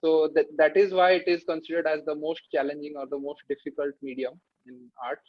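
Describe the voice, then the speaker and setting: A man in his thirties with an Indian accent, answering interview questions casually and hesitantly, with frequent pauses. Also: fairly high pitch, level tone, dull audio. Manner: halting, hesitant delivery with many pauses. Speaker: interview guest, artist.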